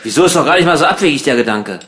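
A man's voice: on the high side, expressive, rapid-fire, fairly bright tone. A man in casual comic debate as a radio caller, pedantic, argumentative and impatient.

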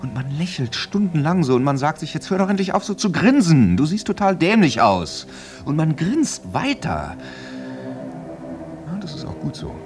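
An actor playing a jealous, tormented soldier in a radio play monologue, theatrical and shifting between murmurs and outbursts. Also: male voice, on the high side, very animated, moderate pace, music in the background. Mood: agitated, bitter. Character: jealous, tormented soldier brooding over his unfaithful lover.

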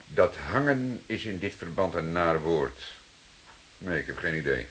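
An actor playing a calm, stubborn, dryly ironic police detective in a radio drama, speaking in a measured way. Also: male voice, deep voice, slight background noise, full character voice. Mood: dry, grave. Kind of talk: Dutch radio drama acting.

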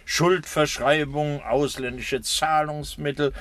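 An actor playing a villainous nerve doctor in a 1960s German radio thriller, mock-friendly, sardonic and coldly menacing. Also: male voice, on the high side, steady tone, slow pace, a bit bright. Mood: silkily persuasive.

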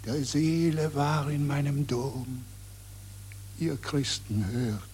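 An ancient murdered forest speaks in a radio drama. The verse is solemn and drawn out at a crawl, mournful and accusing, often dropping to a whisper. A low hum and faint noise sit behind it. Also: male voice, a little mellow. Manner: very slow declamation, often whispered. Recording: noisy track with low hum.

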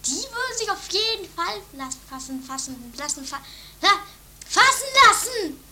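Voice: very high-pitched, very animated, moderate pace, pushed and bright, exaggerated voice. A performer voicing Doris, a dramatic, boastful and scatterbrained woman, in an improvised trash comedy radio play. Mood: smug, scatterbrained. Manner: rambling, muddled, self-satisfied. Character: Doris, dramatic, scatterbrained, boastful sister, self-styled immortal. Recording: noisy amateur 1980s tape.

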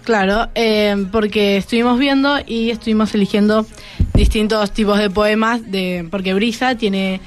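Argentine teenage boy, high youthful voice, colloquial, rambling storyteller, student guest, clear music behind. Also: steady tone, normal speed. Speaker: secondary school student guest. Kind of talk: casual chat.

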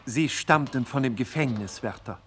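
A man's voice: mid-range voice, normal speed, husky, lightly acted. A man narrating a comic radio crime serial with pedantic, theatrical mock drama.

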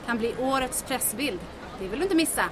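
An actress playing an observant mayor's aide in a comic audio drama, delivering everything in a dry, deadpan manner. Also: female voice, high voice, noisy background. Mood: dry, watchful.

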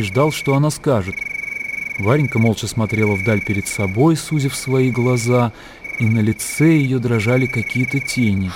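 A man reading scripted literary narration as the narrator of a radio drama. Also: medium pitch. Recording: clear outdoor ambience noise behind.

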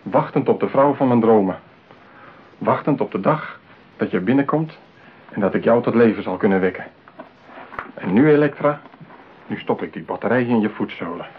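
A man's voice: on the low side, expressive, normal speed, pauses often, lightly acted. An actor in a radio play playing a lonely, possessive man besotted with his mechanical bride, talking theatrically. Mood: tender, gloating. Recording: tinny narrow-band radio play, clear hiss.

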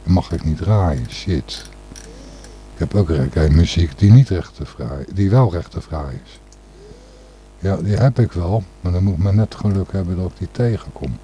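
A man's voice: low-pitched, expressive, moderate pace, dark tone, slight background noise. A man the hosting a radio show with casual, rambling, blunt and opinionated talk.

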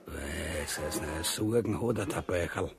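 A man's voice: deep voice, unhurried. An actor playing a cowardly, pompous, grumbling king in a farcical radio play, swearing and ranting in a Viennese Austrian accent. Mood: griping. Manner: ranting, swearing, blustering with stammered repeats. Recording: music behind the voice.

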